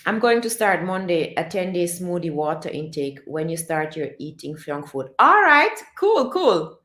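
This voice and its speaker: A woman chatting casually on a livestream, reading her chat and urging viewers to chase their dreams, animated and repeating herself often. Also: lowish voice, moderate pace, echoey room. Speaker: vlogger reading live chat.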